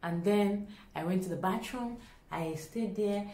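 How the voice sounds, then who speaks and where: A young woman with a Nigerian accent tells a story to camera in a dramatic, expressive way, acting out dialogue as she goes. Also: medium pitch, measured pace. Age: young adult. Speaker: vlogger. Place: small indoor room at home. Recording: echoey small room.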